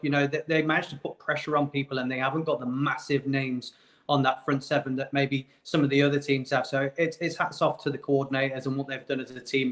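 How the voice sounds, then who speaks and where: A man's voice: medium pitch, fairly bright tone. A man who is a regular podcast panelist, giving casual sports analysis.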